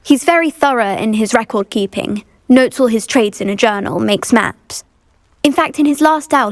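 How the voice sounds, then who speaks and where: A woman's voice: on the high side, dry recording, clean studio sound, lightly acted. A woman playing an earnest, well-spoken, anxious teenage schoolgirl witch with a British accent in a video game. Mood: worried, earnest. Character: anxious, polite teenage schoolgirl witch devoted to her uncle. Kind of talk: video game dialogue acting.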